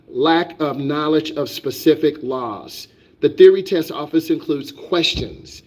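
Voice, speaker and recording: A man's voice: on the high side, a little mellow. Emphatic man, driving instructor. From United States. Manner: emphatic, repetitive, preachy. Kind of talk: live-stream instruction.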